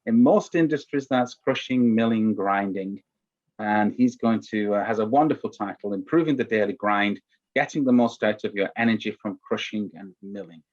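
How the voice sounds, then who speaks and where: A man's voice: fairly low pitch, normal speed, darkish tone. A man chairing an online workshop, polite and hesitant, often restarting his phrases. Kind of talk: moderating an online Q&A. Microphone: video call. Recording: dull lo-fi video call.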